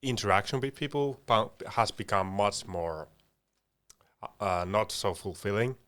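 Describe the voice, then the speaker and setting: A man's voice: on the low side, lively intonation, measured pace, fairly bright tone. A young man co-hosting a podcast, chatting casually and reflectively and acting out bits of imagined conversation. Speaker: podcast co-host.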